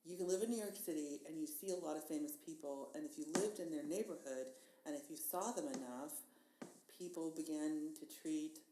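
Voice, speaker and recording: A woman's voice: deep voice, warm tone. A middle-aged woman chatting casually as a podcast guest, laughing often and restarting her sentences with enthusiasm. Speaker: guest painter. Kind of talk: casual chat. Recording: echoey room with faint hiss.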